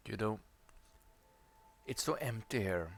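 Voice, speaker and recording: A man's voice: lowish voice, expressive, slow, creaky. A man giving casual let's play commentary in a musing, conversational way. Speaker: gameplay commentator.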